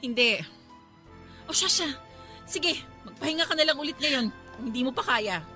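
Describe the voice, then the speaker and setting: A woman's voice: high-pitched, expressive, moderate pace, sharp tone, soft background music. A woman acting in a Tagalog radio drama as an exhausted, exasperated friend who pleads and scolds with emotional intensity. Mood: gentle, firm.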